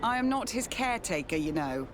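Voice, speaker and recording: Game casting: female actor as brusque merchant woman, medium pitch, dry and guarded, clear outdoor noise. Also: sing-song, a little fast, lightly acted. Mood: curt. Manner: dry, dismissive. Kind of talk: dramatic video game dialogue.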